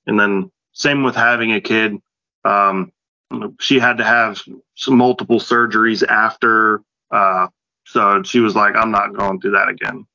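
A man's voice: fairly low pitch, steady tone, unhurried, vocal fry, pushed and bright, no room sound, lo-fi sound. A man in his late thirties co-hosting a podcast, speaking casually and hesitantly with many fillers. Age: late 30s. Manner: hesitant, many ums and restarts. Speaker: podcast co-host. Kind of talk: casual chat.

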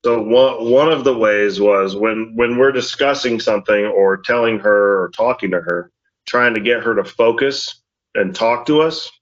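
Loud rambling man, mildly deep voice. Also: normal speed. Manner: rambling, says "you know what I mean" often. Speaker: podcast co-host, father. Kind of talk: casual chat. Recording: dull lo-fi audio.

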